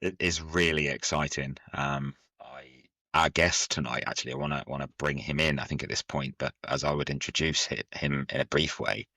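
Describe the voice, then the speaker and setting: Guy cohosting a podcast, really deep voice but bright, dry close sound. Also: normal speed, no echo. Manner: animated, acts out quotes. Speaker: podcast co-host. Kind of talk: casual podcast chat.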